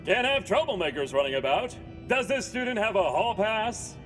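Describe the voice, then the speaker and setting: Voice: mid-range voice, expressive, moderate pace, close to an everyday voice. An actor voicing an eager, violent henchman in an exaggerated video game style. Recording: faint music behind.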